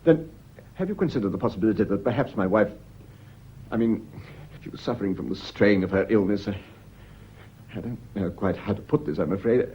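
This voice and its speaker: An actor playing an uneasy widowed gentleman in a radio drama, hesitant and stammering in an agitated way. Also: fairly low pitch, animated, brisk, long pauses, slight background noise, natural voice. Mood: hesitant, nervous. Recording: dull, muffled old radio recording.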